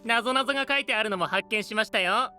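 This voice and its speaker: An actor playing a polite, amused young male knight who gently chides, speaking softly and formally in an anime-style voice drama. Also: sits high, brisk, faint music behind. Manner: soft polite formal speech.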